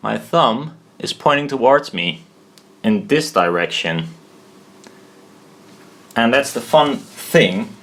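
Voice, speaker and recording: A man giving an informal technical tutorial as he draws, halting, thinking aloud and correcting himself as he goes. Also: medium pitch, lively intonation, a bit bright. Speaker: presenter of a hobbyist explainer video.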